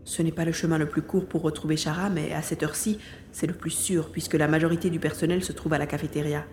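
A woman's voice: sits low, steady tone, fast talker, a little mellow, echoey room, slight background noise, lightly acted. A woman playing a determined young boy hero in an audio drama, thinking aloud as he acts. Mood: watchful. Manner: thinks aloud, narrating own actions.

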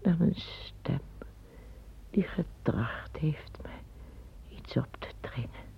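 A man in a radio play speaking in a hushed whisper as a frightened hidden figure. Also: fairly high pitch, expressive, takes it slowly, vocal fry, exaggerated voice. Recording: dull old radio recording.

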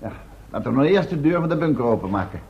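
Casting: down-to-earth male sidekick, played by a man, mid-pitched, questioning, vintage radio drama, very narrow band. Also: lively intonation, quick pace, lightly acted. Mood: practical. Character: sensible male companion to a professor, questioning and practical. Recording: thin, narrow old radio recording, faint hiss.

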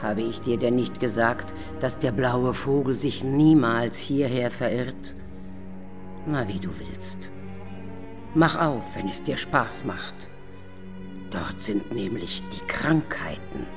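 A woman's voice: extremely low pitch, slow, over music, exaggerated voice. A woman playing Night as a weary, imperious, grumbling female spirit in a theatrical radio drama style, sighing and at times whispering. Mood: grudging. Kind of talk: German radio drama acting.

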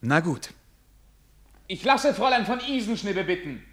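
A young prince in a radio play. The voice sits a little high and moves in an animated way, courteous and formal, with aristocratic eagerness. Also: male voice, moderate pace, full character voice. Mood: regal, amused. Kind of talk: German radio play acting.

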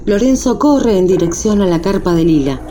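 A woman's voice: mid-range voice, lively intonation, moderate pace, dark tone, slight background noise, close to an everyday voice. A woman as narrator of a radio drama, reading scripted scene descriptions. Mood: narrating. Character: storytelling female narrator of a radio play. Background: music.

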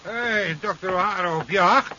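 A slightly noisy, muffled old radio play with a mocking villager. The voice is high and piercingly sharp, full of teasing excitability. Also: male voice, measured pace, full character voice. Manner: teasing, jeering. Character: mocking, excitable male villager jeering at a scientist. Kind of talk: 1970s Dutch radio drama acting. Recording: muffled 1970s radio drama recording, faint noise.

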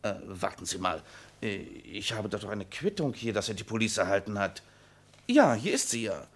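A man's voice: on the low side, big pitch swings, moderate pace. An actor playing a talkative, slightly flustered insurance salesman in a vintage radio crime drama. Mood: explanatory.

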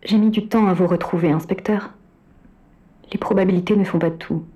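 Woman playing a mellow, devoted female AI assistant. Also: mid-range voice, steady tone, a little fast, close to an everyday voice. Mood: relieved, grave. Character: female AI assistant in a robot body, devoted, confessional. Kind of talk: French audio drama acting, intimate dialogue.

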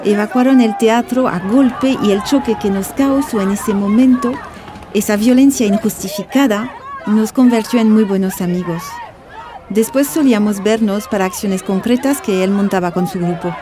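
A woman's voice: mid-range voice, moderate pace, crowd noise, background noise, lightly acted. An actress playing a guarded, earnest French woman journalist in a radio drama. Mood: reflective.

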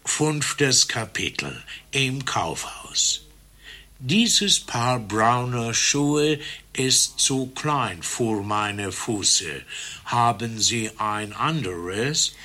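An actor playing a polite American who recites stilted textbook phrases with an American accent. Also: male voice, medium pitch, sing-song, drawn-out words, bright, forceful tone, exaggerated voice. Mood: earnest, polite. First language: English. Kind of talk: satirical radio play acting.

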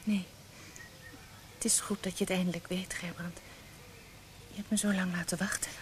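A woman's voice: on the low side, little pitch movement, normal speed, lightly acted. Actress as an affectionate, teasing young woman, noisy recording. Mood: intimate, loving. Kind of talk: Dutch radio play acting. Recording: noisy old radio play recording.

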